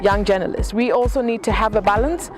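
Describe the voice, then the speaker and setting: Young woman from ghana, quick fluent speech, outdoors, clear music underneath. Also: medium pitch. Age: young adult. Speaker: interviewee, young journalist. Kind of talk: earnest to-camera interview answer. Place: outdoors on a campus lawn.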